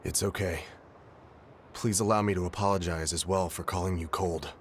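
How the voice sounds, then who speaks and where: An actor playing a dignified, sorrowful and forgiving brother in a video game, with a formal, composed way of talking. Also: male voice, deep voice, steady tone, moderate pace, a little hiss or hum. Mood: subdued, apologetic. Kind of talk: English video game voice acting. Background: loud background music.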